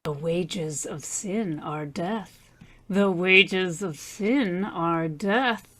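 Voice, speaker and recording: A woman's voice: on the low side, very slow, a bit bright, full character voice. A woman playing a solemn, ominous announcer who narrates doom in scripted old-time radio style. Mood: ominous solemnity.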